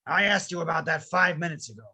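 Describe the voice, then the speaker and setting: An actor playing a grumpy, curt, impatient old widower in an animated film script read-through. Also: medium pitch, quick pace. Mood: exasperated. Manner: curt, exasperated.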